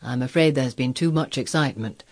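A woman's voice: extremely low pitch, fairly quick, pitched below the performer's own voice. A woman voicing a stern, cautious male doctor in a storybook, speaking in a reproving manner. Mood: cautioning. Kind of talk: storybook character voice.

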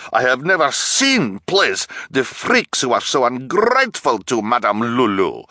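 A man voicing a bad-tempered fake fortune-teller woman who shouts in a put-on odd accent and broken English. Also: medium pitch, no room sound, clean studio sound, voice pushed up, heavily acted. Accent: put-on odd foreign accent. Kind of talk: audiobook character reading.

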